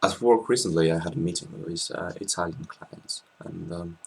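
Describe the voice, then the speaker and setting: Deep, gravelly French-accented young man. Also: normal speed. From France. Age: 20s. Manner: hesitant, many ums and restarts, joking. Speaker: guest. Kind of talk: casual interview chat. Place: small indoor room. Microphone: laptop webcam.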